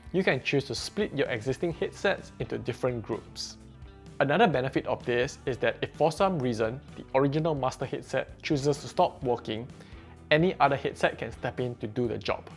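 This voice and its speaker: A man reviewing a headset in a plain, measured, explanatory way, as a scripted voice-over. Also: mid-range voice, lively intonation, vocal fry, soft background music. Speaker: tech reviewer. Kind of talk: scripted product review narration.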